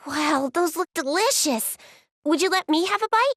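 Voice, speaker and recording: Anime game younger sister: candid, girlish, high, very animated, dry recording. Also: moderate pace, no room sound. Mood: eager. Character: frank teenage girl, slightly cynical younger sister. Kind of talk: English anime game voice acting.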